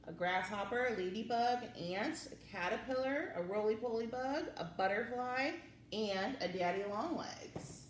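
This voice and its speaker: A woman presenting story time for young children, reading aloud and chatting in a singsong, encouraging way. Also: mid-range voice. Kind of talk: children's read-aloud and chat to young viewers. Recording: roomy, distant mic, faint background noise.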